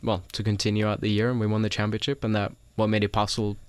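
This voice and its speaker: A young man from Sweden, a racing driver guest in a studio, telling his story in relaxed casual chat with frequent ums and little laughs. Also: fairly low pitch, racing, clear, resonant tone, dry recording.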